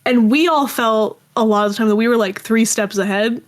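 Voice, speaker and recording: A young woman talks casually as a guest on a podcast. Her voice sits a little high. She is jokey and self-deprecating, often stopping and restarting as she gets to her point. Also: fairly quick. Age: young adult. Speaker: podcast guest, audio drama creator and writer. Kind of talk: casual conversational chat.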